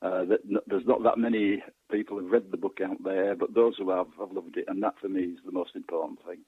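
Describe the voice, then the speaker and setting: A man's voice: deep voice, steady tone, fairly quick, breathy edge, dry recording. A man in his late sixties from Yorkshire, chatting as an interview guest in a modest, hesitant way over a phone-like line. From Yorkshire, England. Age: late 60s. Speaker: guest author. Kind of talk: casual interview chat. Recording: narrow tinny phone-like line.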